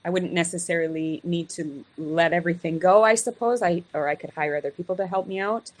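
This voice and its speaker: A woman with a somewhat low voice, speaking on a video call. She thinks aloud, hesitant and searching, with many pauses and restarts. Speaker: guest being coached.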